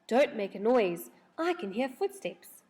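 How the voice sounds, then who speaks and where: An eager young storybook girl, read by a woman, full of curiosity. She speaks keenly and very expressively, with lots of lift in the pitch. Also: big pitch swings, a little slow, darkish tone, husky, exaggerated voice. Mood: urgent.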